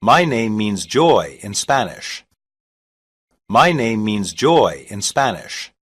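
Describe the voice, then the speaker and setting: A reader saying short English practice sentences slowly and evenly, each one twice, for a language lesson. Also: medium pitch, sing-song, a bit bright, slightly rough. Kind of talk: slow repeated phrase reading.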